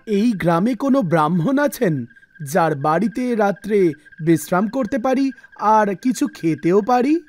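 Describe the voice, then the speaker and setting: A woman voicing a solemn male wandering holy man in a measured, formal way, for a cartoon devotional story. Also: mid-range voice, lively intonation, a little mellow, voice pushed down, close to an everyday voice. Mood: polite request. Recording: music bed under the voice.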